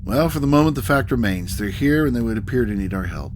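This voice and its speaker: Starship captain, man acting, mid-pitched, calm authority, measured orders, sci-fi audio drama over clear ambient noise. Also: natural voice. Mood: brisk, authoritative.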